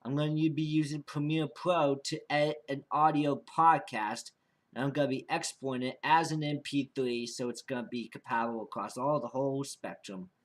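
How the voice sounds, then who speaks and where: A man's voice: medium pitch, fairly even intonation, normal speed. A young man giving a casual, unscripted vlog monologue as an amateur podcast creator, rambling and often repeating and restarting his phrases.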